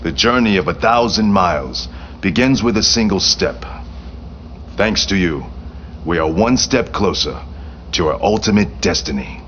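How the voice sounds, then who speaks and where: A man's voice: low-pitched, animated, measured pace, fairly bright tone, lo-fi sound, a little hiss or hum. A man acting a proud, vengeful Japanese prince in a game cutscene, speaking formally and solemnly with grandiose command. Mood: satisfied, grand.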